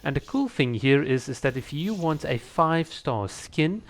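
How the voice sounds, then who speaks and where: A man's voice: a little high, lively intonation, normal speed. A man talking casually to viewers as a video creator, explaining his opinions and laying out ideas with examples.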